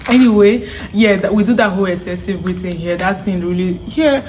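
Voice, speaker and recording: Lively Nigerian woman chatting. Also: mid-range voice, fairly quick, slight background noise. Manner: lively, laughs often, slips into pidgin. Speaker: vlog host. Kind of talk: casual chat.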